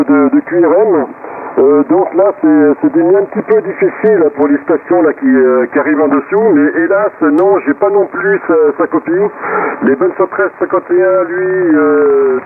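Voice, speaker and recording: A man speaking over a two-way radio as an operator, chatting casually in a halting way and repeating words. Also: fairly high pitch, expressive. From southwest France. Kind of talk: casual radio contact chat. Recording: narrow, noisy CB radio transmission.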